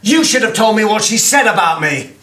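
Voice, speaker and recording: A middle-aged man, a scheming writer in a comedy soap. His voice sits fairly high and moves around a lot. He is wheedling and defensive, with faint noise behind him. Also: expressive, fairly quick, pushed and bright. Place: office, indoors.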